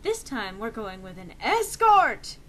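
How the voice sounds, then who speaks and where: A woman's voice: fairly high pitch, very animated, takes it slowly, a bit bright, full character voice. A woman voicing an awkward, pleading teenage goth boyfriend in a story reading, hesitant, earnest and defensive. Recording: noisy amateur home recording.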